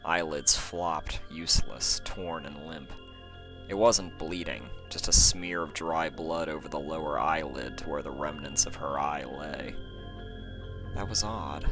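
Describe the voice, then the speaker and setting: A man reading a horror story aloud as an amateur narrator, in a measured, suspenseful storytelling style. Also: very deep voice. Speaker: amateur fan fiction narrator and channel host. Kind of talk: dramatic horror audiobook narration, then casual sign-off. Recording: dry close recording with faint music underneath.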